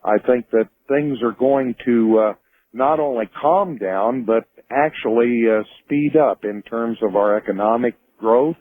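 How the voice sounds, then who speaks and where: Husky male caller, phone line. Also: medium pitch. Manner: formal, deliberate wording. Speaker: caller to a radio show. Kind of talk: earnest explaining on a call-in show. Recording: muffled phone line to radio.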